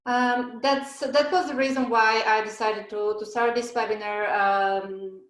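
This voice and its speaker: A woman's voice: mid-range voice, roomy sound, rough recording. A woman from Bulgaria in her thirties hosting a business webinar over a video call, hesitant with many fillers and restarts. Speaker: webinar host. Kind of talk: presenting a webinar.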